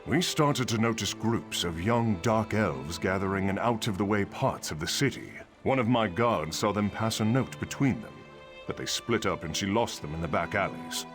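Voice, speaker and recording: An actor playing a brusque, dutiful dark elf guard captain in a video game, curt, condescending and emphatic. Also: male voice, fairly low pitch, normal speed, over music, close to an everyday voice. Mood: earnest, businesslike. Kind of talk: video game dialogue acting.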